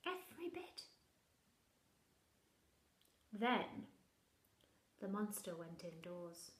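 A young adult woman, a primary teacher, reading a picture book aloud to young children in a gentle, expressive way. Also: lowish voice, drawn-out words. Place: living room indoors. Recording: roomy, echoey home recording.

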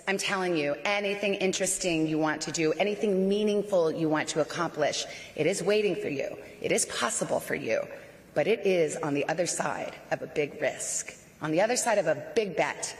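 A woman's voice: fairly low pitch, big-room sound. A woman in her forties giving a commencement speech, playful and rousing, from a podium mic in a large tent. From Arizona. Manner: warm, playful, rousing oratory. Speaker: commencement speaker. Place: large outdoor tent at a graduation.

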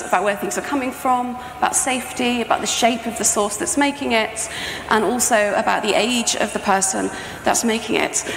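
A woman's voice: fairly high pitch, a bit bright. A middle-aged woman giving an informal, explanatory conference talk as a sound artist. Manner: conversational, explanatory. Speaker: sound artist presenting a project. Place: indoor talk venue with projection screen, on stage. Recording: echoey hall, faint background noise.

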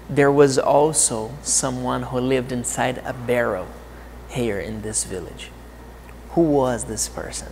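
Brazilian male English teacher, 30s, gravelly edge, slow deliberate delivery, reverberant set with faint ambience. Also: medium pitch, a little mellow, a little hiss or hum. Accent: Brazilian Portuguese. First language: Portuguese. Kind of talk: slow, clear English lesson to camera. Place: outdoor courtyard of a TV set.

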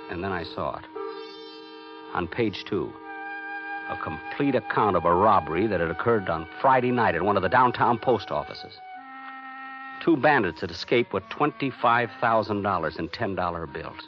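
An actor playing a frantic everyman on the run, narrating a 1950s radio thriller with tense, dramatic phrasing. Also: low voice. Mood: worried. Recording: dull old 1951 radio recording, loud music.

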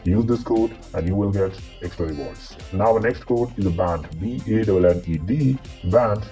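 A man with a pitched-down monster voice filter, walking through game codes and spelling each one letter by letter. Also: moderate pace, warm tone. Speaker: gaming channel host. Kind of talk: mobile game code tutorial. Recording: voice-over with faint guitar music.

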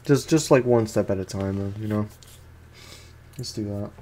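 A man's voice: lowish voice, moderate pace, mellow, slight background noise. A man giving casual, rambling, slangy commentary as he plays a video game for his viewers. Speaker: gaming streamer. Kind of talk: casual let's play commentary.

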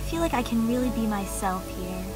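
A woman's voice: fairly high pitch, lively intonation, fairly quick, loud music behind the voice, natural voice. A young woman playing a sweet, wistful, lovestruck date in a comedic game cutscene. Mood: tender, wistful. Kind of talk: live-action game cutscene acting.

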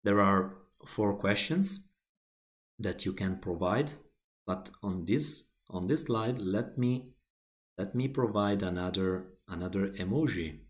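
Man, Italian native, unhurried and fairly low, plain step-by-step tutorial narration with restarts. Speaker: software tutorial presenter. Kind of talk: screen-recorded software walkthrough.

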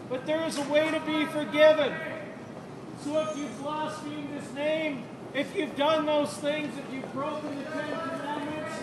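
A middle-aged man preaching a street sermon in earnest, projected, deliberate phrases. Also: very high voice. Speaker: open-air street preacher. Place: busy outdoor train platform in a city at rush hour. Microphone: handheld camera several metres away. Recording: distant voice in loud outdoor city noise, echoey. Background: loud street ambience and vehicles.

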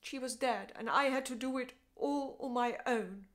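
A woman performing a stage monologue as a grieving, bitter mother confronting her ex-husband, speaking in deliberate, emotionally intense phrases. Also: fairly high pitch, fairly bright tone, close to an everyday voice. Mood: accusing, distraught.

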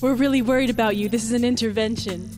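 A high female voice rings with long reverb over music, a woman playing a sassy young bat from a video game. She is blunt, casual and snippy in a comedic dub. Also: fast, lightly acted. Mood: concerned, matter-of-fact. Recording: echoing reverb, loud music behind.

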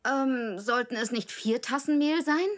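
A woman voicing a know-it-all but comforting zebra lady in a children's cartoon, expressive and instructive. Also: on the high side, a little slow, lightly acted. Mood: correcting.

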